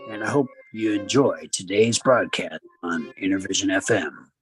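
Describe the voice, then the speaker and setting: A man's voice: low-pitched, normal speed, a bit bright, faint music behind, low-quality recording. A man hosting a podcast with grandiose, playful announcing and banter. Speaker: podcast host. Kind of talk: animated podcast intro and banter.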